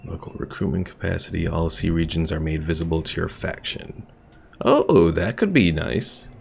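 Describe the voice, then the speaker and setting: A man streaming a strategy game, chatting casually, thinking out loud and reading chat messages. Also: sits low, creaky. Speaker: gaming streamer. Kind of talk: casual gameplay commentary.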